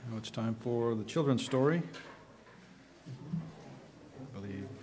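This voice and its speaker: A middle-aged man leading prayer and announcements at a church service from a pulpit mic, halting, with frequent fillers. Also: mid-range voice, noisy background. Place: small church sanctuary.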